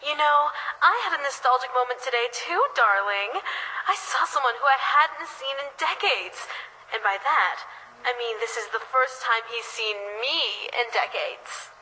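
Very high-pitched, sweetly deranged lovestruck kidnapper. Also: female voice, lively intonation, measured pace, sharp tone, husky, heavily acted, poor audio. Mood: playful, teasing. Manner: cooing, saccharine, menacingly affectionate. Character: sweetly deranged, lovestruck female kidnapper doting on her captive. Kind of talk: anime-style video game voice acting.

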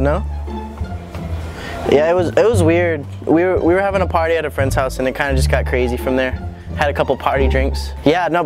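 A young man chats in a slangy, deadpan way, as if on a phone call in a big store. Music plays clearly behind his casual talk. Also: on the high side. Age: young adult. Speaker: prank video host. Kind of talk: casual prank chat, staged phone calls. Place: large busy retail store.

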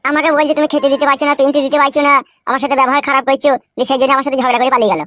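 Man as high-pitched, whiny, hapless village son. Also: level tone, rapid-fire, bright, forceful tone. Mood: defensive. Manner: whiny, melodramatic pleading. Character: hapless, pleading young village son, excuse-making womanizer. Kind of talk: comic cartoon dialogue. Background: faint music.

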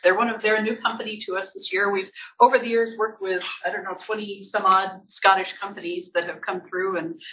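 A man introduces speakers at an industry event in a loose, conversational way, often restarting his sentences. His voice is bright and forward. The sound is dull and lo-fi, with a faint hiss behind it. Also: high voice. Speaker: host of industry association event. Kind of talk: event panel hosting.

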